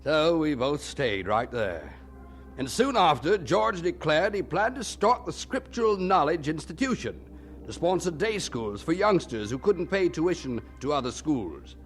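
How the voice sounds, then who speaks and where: A man's voice: on the high side, very animated, unhurried. A man playing a candid, wryly puzzled preacher who narrates his memories in a radio drama, reflective and conversational.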